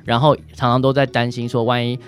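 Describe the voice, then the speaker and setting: A man's voice: medium pitch, flat delivery, a little fast, soft background music. A man with a Taiwanese Mandarin accent, a doctor answering as an interview guest, explaining things in a hesitant way with frequent tag particles.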